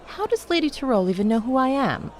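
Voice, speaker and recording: A woman's voice: sits high, very animated, fast, a faint crowd, voice pushed up. A woman voicing a gracious, silver-haired female cleric with polite, formal speech.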